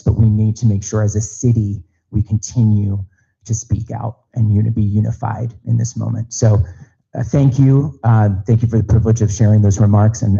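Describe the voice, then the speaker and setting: A man's voice: lowish voice, vocal fry, mellow, slightly rough, lo-fi sound. A man on a party committee giving an earnest speech at a public meeting in a large auditorium, repeating phrases as he goes.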